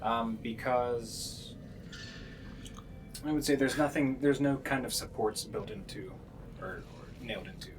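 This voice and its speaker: A man with a middle-pitched voice acts as game master, describing scenes off the cuff. He often pauses to find his wording, and clear background noise sits behind him. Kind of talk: improvised tabletop game narration.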